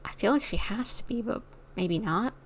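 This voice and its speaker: A woman's voice: medium pitch, moderate pace, breathy edge, poor audio. A woman streaming a video game, commenting casually and thinking aloud in an informal, conversational way. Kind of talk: casual livestream commentary and dialogue read-along.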